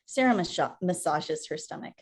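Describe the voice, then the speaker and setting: Brief: female narrator, slightly low pitch, reads screenplay action lines on a video call. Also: animated, moderate pace, breathy edge. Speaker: stage-direction narrator. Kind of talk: scripted narration at a table read.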